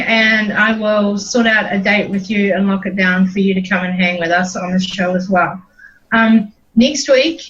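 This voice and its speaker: A woman's voice: fairly high pitch, fairly bright tone. A woman with a New Zealand accent hosting a casual livestream chat, rambling and chatty with plenty of ums. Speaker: host. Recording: dull lo-fi stream audio.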